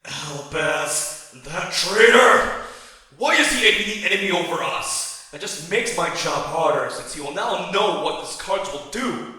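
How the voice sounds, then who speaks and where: A man's voice: on the high side, expressive, measured pace, breathy edge, exaggerated voice, poor audio. A man playing a scornful villainous duelist in an anime-style card battle roleplay, declaiming dramatically and formally. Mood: indignant. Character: theatrical villainous duelist, scornful rival of a Chosen One. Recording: voice over loud background music.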